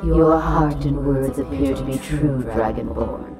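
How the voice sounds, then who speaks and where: A woman's voice: a bass voice, a little slow, far from the mic, loud music behind the voice. A woman playing a regal, centuries-old nymph guardian in a fantasy audio drama, speaking formally and imperiously. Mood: cool, amused.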